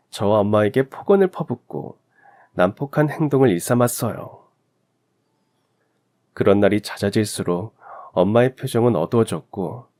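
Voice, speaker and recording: Low-voiced man narrating a story. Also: a little mellow. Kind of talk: scripted first-person story narration, radio drama.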